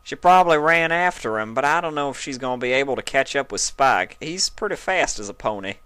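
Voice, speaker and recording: A blunt, protective cartoon mare performed by a woman, raised in pitch and pushed louder. She speaks plainly and forthrightly, like one who scolds out of loyalty. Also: expressive, a little fast, clean tone, no echo. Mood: reproachful.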